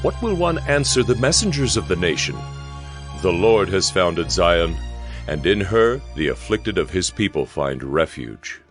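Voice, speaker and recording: A man's voice: low-pitched, sing-song, a little slow, faint music behind. A man narrating scripture dramatically, with solemn, emphatic, declamatory delivery.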